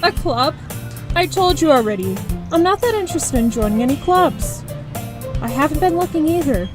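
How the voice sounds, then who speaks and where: A woman playing a reluctant, exasperated high school girl in an audio drama, with dry, grudging first-person narration and dialogue. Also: very animated, normal speed, over music.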